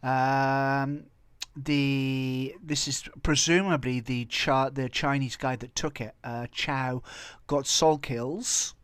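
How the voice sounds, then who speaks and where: A man's voice: medium pitch, fairly even intonation, slow pace, a bit bright, clean tone, no echo. Chatty Welsh man with a close, dry sound. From Wales. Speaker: video host commenting on viral clips and tabloid stories. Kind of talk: casual off-the-cuff commentary.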